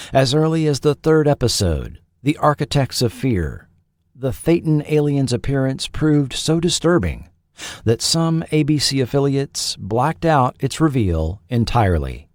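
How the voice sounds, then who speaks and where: A man narrating a scripted history documentary as its host, with deliberate, dramatic phrasing. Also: medium pitch, a little mellow, no echo, clean studio sound.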